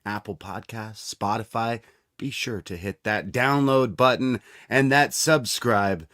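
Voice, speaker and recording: A man with a low voice co-hosts a podcast in loose, casual chat. He rambles a bit and trails off mid-thought, and the sound is close and dry. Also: a little slow, fairly bright tone, no room sound. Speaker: podcast co-host.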